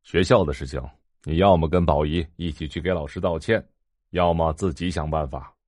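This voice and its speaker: A stern middle-aged head of a rich household in an audio drama. His voice is low and his manner commanding and threatening. The recording is close, with hardly any room sound. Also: steady tone, moderate pace, a little mellow, no echo. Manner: commanding, severe. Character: stern, domineering middle-aged patriarch of a wealthy family, cold and threatening.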